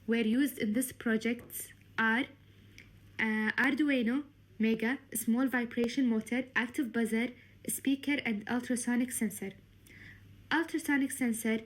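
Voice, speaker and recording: A woman presenting a student project in a formal, scripted explanatory style. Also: a little high.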